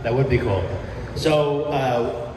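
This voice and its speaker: A man's voice: medium pitch, takes it slowly. Radio host man, roomy noisy room. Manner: brief encouraging interjections. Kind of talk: casual interview. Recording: roomy hall from far off with noise.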